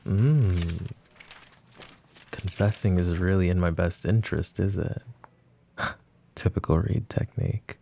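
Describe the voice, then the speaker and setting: A man voicing a smug, flirtatious mafia boss who teases and taunts the woman questioning him, in soft-spoken roleplay ASMR. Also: low-pitched, slow, gravelly, long pauses, slight background noise, heavily acted. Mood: smug teasing. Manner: teasing, taunting, calls her pet names.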